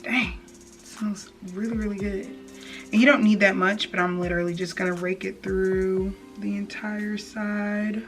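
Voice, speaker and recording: A woman's voice: mid-range voice, flat delivery, a little slow. A young adult woman chatting casually and playfully in slangy speech, like a beauty vlogger giving a hair tutorial. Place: indoor room, plain wall backdrop. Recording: slightly distant mic, faint music.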